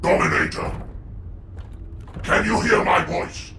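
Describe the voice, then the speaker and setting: Unyielding game ruler: gravelly, forceful, haughty male voice in a noisy, echoing space. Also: sits high, wildly swooping pitch, takes it slowly, far from the mic, heavily acted. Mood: stern, impatient. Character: imperious, unyielding male sovereign commanding his legion. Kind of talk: dramatic video game cutscene acting.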